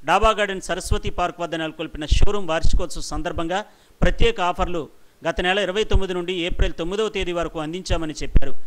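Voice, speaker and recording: A man narrates a scripted news report in a somewhat high voice. He delivers it as a reporter's voice-over, sentence after prepared sentence. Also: a bit bright, a little hiss or hum.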